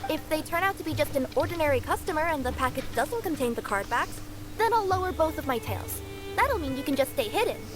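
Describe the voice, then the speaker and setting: A woman voicing an earnest, polite young cat girl courier in a video game, explaining dutifully over loud music. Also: high voice, animated, a little fast. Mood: reassuring.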